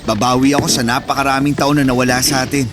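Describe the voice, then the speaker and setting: An actor playing a protective, caring older brother in an emotional radio drama, speaking earnestly and emphatically. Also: male voice, mid-range voice, little pitch movement, fast, over loud music, lightly acted. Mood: warm, touched. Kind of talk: radio drama acting in Tagalog.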